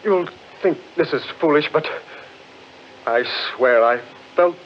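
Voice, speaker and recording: A male actor playing an excitable gentleman quick to panic, with theatrical, stammering delivery in 1940s radio horror style. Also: fairly high pitch. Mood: shaken. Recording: muffled, hissy 1940s radio recording.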